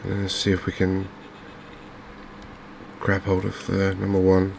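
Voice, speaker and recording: Deep quiet english guy doing asmr game commentary, super monotone, gentle, bit of hiss n game ambience behind. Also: vocal fry. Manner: gentle, unhurried, hushed. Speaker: gaming video host. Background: fishing game ambience.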